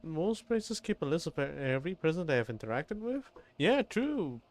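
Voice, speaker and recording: A man's voice: a little high, very animated, normal speed, a little mellow. A man streaming a game, reacting casually with profane, rambling, stop-start comments. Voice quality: rambling, profane, stammering restarts.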